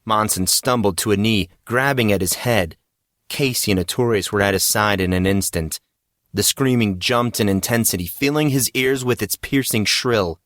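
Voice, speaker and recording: A man's voice: on the low side, moderate pace, a bit bright, dry recording, studio quality. A man reading scripted fiction as the narrator of a story.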